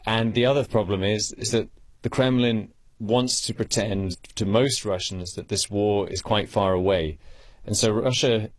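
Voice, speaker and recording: A man's voice: on the low side, steady tone, measured pace, no echo. A man working as a foreign correspondent, telling his field report in a conversational way.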